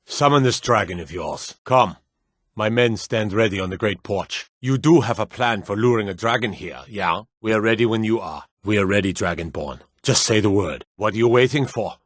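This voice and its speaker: A stern, loyal guard commander from a fantasy game. His voice sits fairly low and has a very husky grain, recorded close and dry. He speaks gruffly and formally, with weight behind his words. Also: moderate pace, a bit bright, no echo. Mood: brisk, wary. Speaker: voice actor for game guard lines. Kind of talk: scripted fantasy video game acting.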